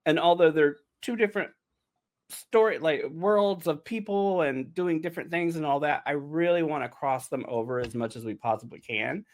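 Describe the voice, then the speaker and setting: A man with a slightly high voice hosts a loose, informal panel chat. He rambles through his thoughts, circles back on himself and jokes freely, swearing casually along the way. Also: normal speed. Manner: jokey, casually profane, rambling. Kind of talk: casual behind-the-scenes podcast chat.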